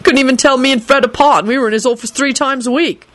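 A woman's voice: high voice, fast, a bit bright, no echo, pitched above the performer's own voice. A woman narrator acts out a smug young man from a storybook. His voice sits raised. He taunts and needles with complacent, cocky ease. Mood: calm taunting. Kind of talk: audiobook-style character dialogue.

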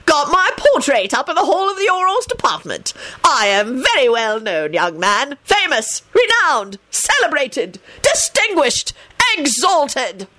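A woman's voice: high-pitched, very animated, a little slow, pushed and bright, voice pushed up, full character voice. A woman voicing a vain, haughty old male wizard with a gleeful, sniffing, boastful manner in a story reading. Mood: delighted, boastful.

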